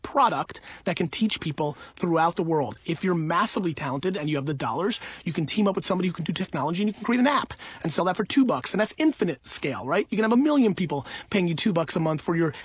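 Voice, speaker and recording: A man's voice: high-pitched, expressive, quick pace, few pauses, breathy edge, no room sound. A man of about forty giving blunt, emphatic, informal advice in an off-the-cuff monologue. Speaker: audiobook author answering listener questions.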